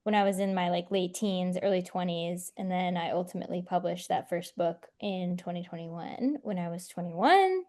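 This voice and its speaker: A woman's voice: fairly low pitch, lively intonation, moderate pace, hardly any pauses, a little mellow. A woman in her twenties from near Chicago, a guest author telling her story in a chatty, filler-heavy way on a podcast. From northwest Indiana, near Chicago. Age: 20s. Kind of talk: casual podcast interview.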